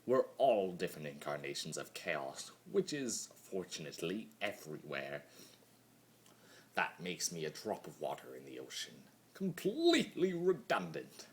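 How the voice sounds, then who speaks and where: A man's voice: low voice, wildly swooping pitch, moderate pace, a little hiss or hum. A man voicing a bitter, sarcastic chaos creature from a pony cartoon in a theatrical dramatic monologue. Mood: bitter, resigned. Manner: theatrical, sarcastic, swings between mutters and mocking outbursts. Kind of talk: dramatic monologue reading of fan fiction.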